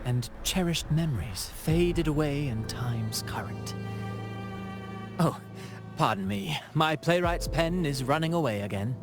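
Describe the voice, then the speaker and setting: An actor playing a courtly, theatrical playwright in a video game, speaking in ornate, pompous phrases with dramatic pauses. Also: male voice, on the high side, animated, over music. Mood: wistful, dramatic. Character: theatrical, courtly, flowery male playwright with grand airs. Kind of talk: video game character acting.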